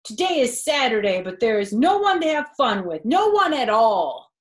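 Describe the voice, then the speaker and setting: A woman's voice: fairly high pitch, sing-song, normal speed, voice pushed up. A woman reading aloud and voicing a worried young boy fox, the fretful big brother in a picture book. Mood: complaining. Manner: exclaiming, worried.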